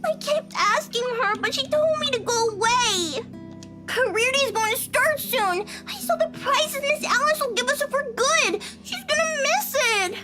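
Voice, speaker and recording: A man voicing a tearful, sulky little girl in a visual novel dub, high and whiny with sobs. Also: lively intonation, measured pace, over loud music. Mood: pleading. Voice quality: high childlike voice. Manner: sobbing, whiny.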